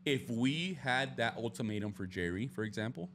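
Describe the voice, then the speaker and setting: A man's voice: mid-range voice, expressive, normal speed, fairly bright tone, a little hiss or hum. A man co-hosting a podcast, teasing and joking in casual banter. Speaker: podcast co-host. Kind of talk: casual chat.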